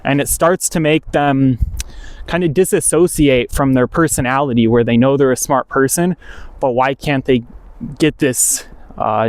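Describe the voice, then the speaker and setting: A man's voice: a little high, darkish tone. A man who is a native English speaker, talking casually and off the cuff to viewers as a language-learning video creator, correcting himself as he goes.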